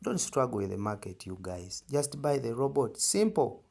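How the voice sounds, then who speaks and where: A man's voice: medium pitch, big pitch swings, moderate pace, creaky, darkish tone, breathy edge. A man with a Ugandan accent giving an informal step-by-step tutorial, emphatic and repetitive, often checking in with short questions. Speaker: trading tutor.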